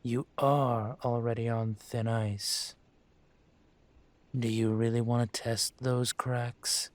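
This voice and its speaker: A man playing an aging dragon mafia boss in a roleplay audio drama, speaking in a smooth, deliberate, courtly manner with veiled menace. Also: mid-range voice, level tone, no echo, close to an everyday voice. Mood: quietly threatening. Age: older.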